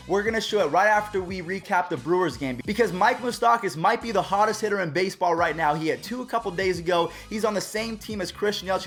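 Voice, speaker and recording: A man hosting a baseball channel in an upbeat, chatty way, talking straight to his viewers, his voice a bit raspy and hoarse from a cold. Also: high voice, fairly bright tone. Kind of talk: casual vlog commentary.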